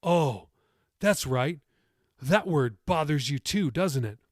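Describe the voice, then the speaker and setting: A man's voice: fairly high pitch, expressive, slow pace, dry recording, clean studio sound. A man voicing an angry, sarcastic seventeen-year-old grandson in an audiobook, sneering and snarling his words. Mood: mocking.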